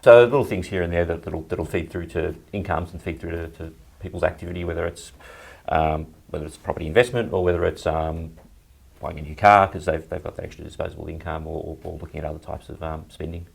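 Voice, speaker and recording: Economist interviewee: Australian male, very low, husky voice, explanatory and hedging, conversational delivery. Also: steady tone, fast talker. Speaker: economist guest. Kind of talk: unscripted interview analysis.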